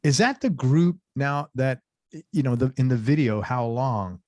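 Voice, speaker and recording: A middle-aged male host drops in short reactions and quick follow-up questions while a guest talks. His pitch is ordinary, and the sound is close and dry from a studio mic in a music room at home. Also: lively intonation, a little mellow, dry recording. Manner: short reactive interjections, chuckles. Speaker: interview host. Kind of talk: casual interview. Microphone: headphones and studio mic, video call.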